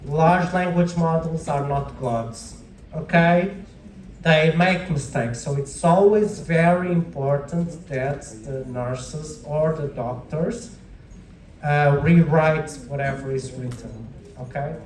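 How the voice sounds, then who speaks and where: A man's voice: fairly high pitch, takes it slowly, darkish tone. A man with a Portuguese accent, a native Portuguese speaker, giving an informal classroom lecture and often checking in with short tag questions. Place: indoor lecture room with audience. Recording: echoey room, distant microphone.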